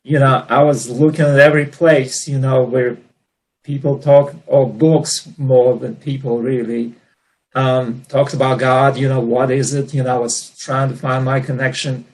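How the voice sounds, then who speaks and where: A man's voice: mid-range voice, flat delivery, measured pace, poor audio. A man, from the former Soviet Union, speaking earnestly as a panelist about his own life. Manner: warm and earnest, with many "you know" fillers. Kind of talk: personal storytelling in a panel discussion.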